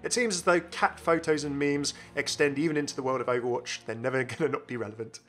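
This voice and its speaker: Fast, bright, enthusiastic male gaming host. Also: medium pitch, steady tone, faint music behind. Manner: enthusiastic, chatty. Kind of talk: informal video game commentary.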